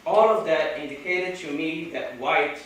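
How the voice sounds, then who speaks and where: A man from Brazil with a Brazilian Portuguese accent, Portuguese being his native language, gives a measured academic talk from a podium at a conference. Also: fairly high pitch, fairly bright tone, slight background noise. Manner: measured, deliberate delivery with pauses. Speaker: conference speaker. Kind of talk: academic lecture. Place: large echoey lecture hall. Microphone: podium microphone. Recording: echoey hall, distant mic.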